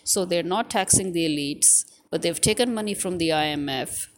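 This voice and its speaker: Low-voiced indignant woman commentator, noisy recording. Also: moderate pace. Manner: indignant, scornful, emphatic with rhetorical questions. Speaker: political commentator. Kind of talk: unscripted opinion commentary.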